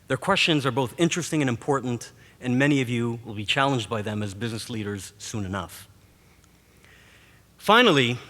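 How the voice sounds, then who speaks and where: Brief: male professor, Montreal-raised Greek native speaker, measured rhetorical commencement speech, mid pitch, long hall reverb. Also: a bit bright. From Montreal, Canada. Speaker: professor giving a graduation speech. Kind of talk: formal commencement address.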